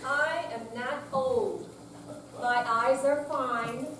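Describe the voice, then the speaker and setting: A woman's voice: high voice, steady tone, very slow, fairly bright tone. An older woman giving an emphatic, mock-indignant recitation of a humorous poem, recorded from far off in an echoey room. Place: senior center room with audience, distant mic. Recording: echoey hall from far off, noisy.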